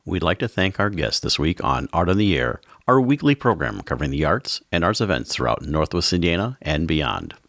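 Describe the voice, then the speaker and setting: A man hosting a public radio arts show, reading the program's closing credits in a smooth announcer manner. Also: sits low, sing-song, fairly quick, hardly any pauses, fairly bright tone, dry recording, studio quality. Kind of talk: scripted broadcast outro.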